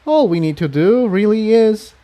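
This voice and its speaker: A man's voice: high voice, expressive, normal speed, warm tone, pitched above the performer's own voice. A man voicing a determined, protective male pony hero who speaks with earnest emphasis in a story reading. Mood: confident.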